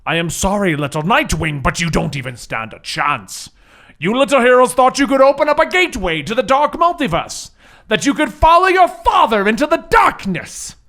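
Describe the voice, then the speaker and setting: Man acting a gloating supervillain, high-pitched, swooping delivery, grandiose, theatrical tabletop game monologue. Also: sing-song, bright, forceful tone, heavily acted. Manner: theatrical, drawn-out villain monologue.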